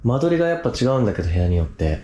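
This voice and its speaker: A man's voice: mid-range voice, sing-song, rapid-fire, mellow. A man co-hosting a ghost-hunting video, chatting casually and matter-of-factly indoors. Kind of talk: casual chat on location. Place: indoor hotel room. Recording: echoey room with faint noise.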